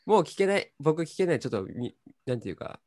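Lively man, dry close sound. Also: on the high side, fast, no room sound. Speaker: radio show co-host. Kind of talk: casual chat.

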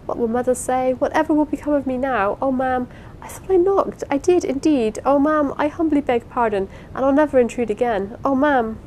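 Woman narrator as timid, easily distraught young Victorian woman, high-pitched, wailing and apologetic, storybook drama, rumbly recording. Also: normal speed, darkish tone, close to an everyday voice. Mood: distraught, pleading. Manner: pleading, flustered, wailing. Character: meek, timid, hysterical young lodging-house woman. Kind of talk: dramatised audiobook reading of a Victorian novel. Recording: clear low rumbling background noise.

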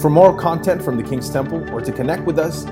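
A man reading a scripted podcast outro in a measured, even way. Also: on the high side, over music. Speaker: podcast announcer.

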